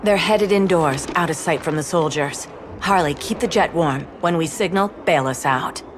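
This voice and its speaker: A woman's voice: low voice, normal speed, noisy background, close to an everyday voice. An actress voicing a sly female cat burglar with a sardonic, teasing manner. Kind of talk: game cutscene voice acting.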